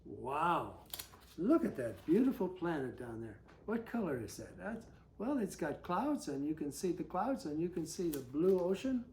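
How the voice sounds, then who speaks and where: A woman's voice: low-pitched, very animated, normal speed, darkish tone, slight background noise. A woman reading a children's picture book aloud, breaking off to chat and put questions to her young listeners. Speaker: storybook reader.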